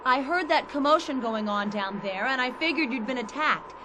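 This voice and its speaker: A woman's voice: sits high, animated, normal speed, noisy background. A woman playing a plucky, anxious adventurer in a science fiction radio drama, speaking in an earnest, candid way. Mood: tense, recounting. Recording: loud ambient sound effects behind.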